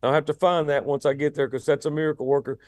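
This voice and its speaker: A man with a raspy voice, a rock singer chatting casually as an interview guest, often repeating words as he starts his sentences. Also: fairly high pitch, flat delivery, fast, a little mellow, no room sound, studio quality. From United States.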